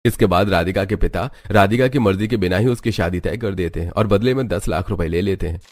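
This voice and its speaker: A man's voice: deep voice, brisk, a little mellow, dry recording, clean studio sound. A man narrating a scripted moral story as a storyteller.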